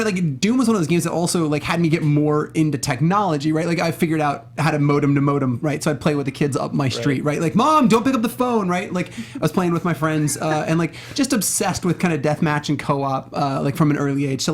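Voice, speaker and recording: A man in his thirties chatting casually and excitably as a panel co-host, with slangy phrasing and frequent tag questions, close on a studio mic. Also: on the high side, fast talker, hardly any pauses. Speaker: co-host of a film discussion show. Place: small indoor podcast studio. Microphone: close studio mic with foam cover, headset monitoring.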